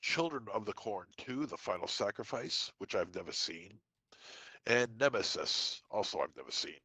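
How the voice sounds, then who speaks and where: A man's voice: fairly low pitch, big pitch swings, sharp tone, breathy edge, no room sound, rough recording. Congested man reading horror trivia. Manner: lists dates and titles with quick asides. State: congested from a cold. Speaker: podcast co-host. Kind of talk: casual podcast segment reading trivia.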